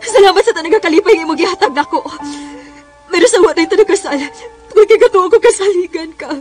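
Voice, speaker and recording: Casting: betrayed young woman, very high-pitched, emotional and pleading, radio serial with light music underneath. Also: quick pace. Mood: anguished, angry. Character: heartbroken young woman betrayed by her boyfriend. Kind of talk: radio drama acting and first-person narration. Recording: music behind.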